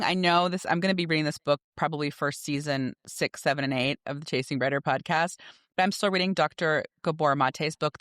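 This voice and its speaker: A woman's voice: low-pitched, fairly bright tone, no room sound, clean studio sound. A middle-aged woman co-hosting a podcast, talking casually and conversationally about everyday life. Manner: conversational, often acts out dialogue from anecdotes. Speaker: podcast co-host. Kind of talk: casual personal chat with anecdotes.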